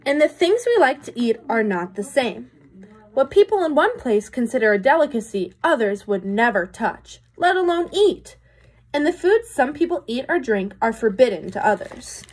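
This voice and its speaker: Young female teacher, picture-book read-aloud, very varied intonation, a little husky, speaks directly to young listeners. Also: sits high, big pitch swings, moderate pace. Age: young adult. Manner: questioning, explanatory, addressing young listeners. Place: small room indoors.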